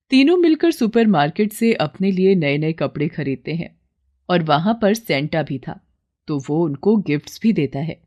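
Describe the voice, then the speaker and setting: A woman's voice: lowish voice, dark tone. A woman storyteller reading scripted narration for a children's moral story.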